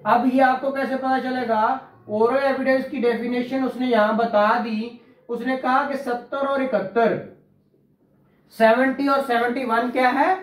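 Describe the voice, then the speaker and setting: A man's voice: very high voice, flat delivery, a little slow, echoey room, poor audio. A man teaching law to a class, quizzing students, repeating key terms and praising answers. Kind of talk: classroom law lecture with questions.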